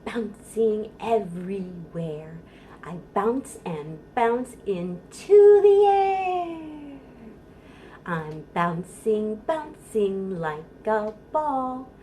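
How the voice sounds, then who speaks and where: Slow-paced American woman leading baby storytime. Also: mid-range voice, slight background noise. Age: middle-aged. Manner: sing-song, drawn-out rhymes with encouraging asides to parents. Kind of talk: instructional storytime with rhymes for babies.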